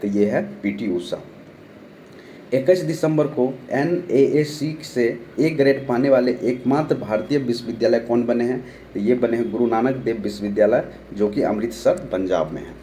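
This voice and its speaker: A young Bihari man with a sore throat runs an online current-affairs lesson. His voice has a dark, mellow tone. He reads out each question, gives the answer, and repeats the names to remember, with faint noise behind him. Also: mid-range voice, normal speed. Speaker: online exam-prep teacher.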